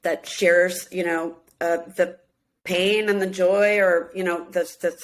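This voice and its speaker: A woman's voice: on the low side, little pitch movement, measured pace. A woman in her fifties, originally from Minneapolis, chatting as a guest in an interview, laughing often and acting out quotes. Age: 50s. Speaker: guest, singer and reality show cast member. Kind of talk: casual interview chat.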